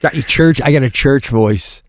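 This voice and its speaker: A man co-hosting a comedy podcast, telling stories in an animated way, swearing freely and restarting his sentences. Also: mid-range voice, moderate pace, no room sound. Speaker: podcast co-host. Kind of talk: casual comedic chat.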